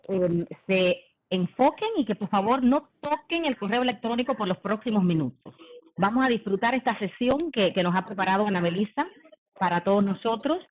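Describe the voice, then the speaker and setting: A woman in her forties, from the Dominican Republic, shares her life story in a leadership talk. She speaks at an ordinary pitch and pauses often on "em" and "eh". The sound is thin and phone-like, like an online meeting. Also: lively intonation. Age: 40s. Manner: warm conversational, frequent "em" and "eh" fillers. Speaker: company executive giving a leadership talk. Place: video call.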